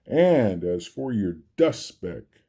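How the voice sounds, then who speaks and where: A man's voice: on the low side, sing-song, slow pace, mellow, slightly rough, exaggerated voice. A man voicing a big female kangaroo in a storybook, haughty, snappy and scornful. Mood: threatening.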